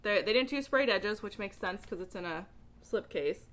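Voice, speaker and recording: A woman talking in a casual, chatty book vlog style, with a giggly, animated and informal, rambling manner. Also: medium pitch, normal speed. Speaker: book reviewer vlogger.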